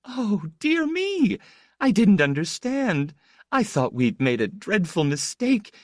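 A tender, fussing older lady, as a male narrator puts her on who murmurs comfort in a high voice that leaps up and down. She talks slowly and anxiously. The reading is close and dry. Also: wildly swooping pitch, darkish tone, no room sound, exaggerated voice. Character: anxious, motherly older woman. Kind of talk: audiobook character voice.

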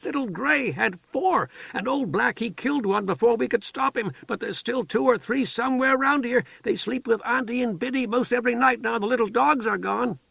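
A man voicing an excitable, childlike village woman who shouts and chatters eagerly. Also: extremely high pitch, normal speed, gravelly, hardly any pauses, slightly rough, no echo, voice pushed up, exaggerated voice. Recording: dull lo-fi dry recording.

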